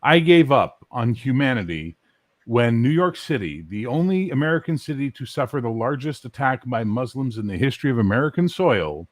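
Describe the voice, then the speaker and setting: A man with a low voice talking in a casual podcast chat, ranting and swearing with strong opinions. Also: no room sound. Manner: animated, swearing, opinionated rants.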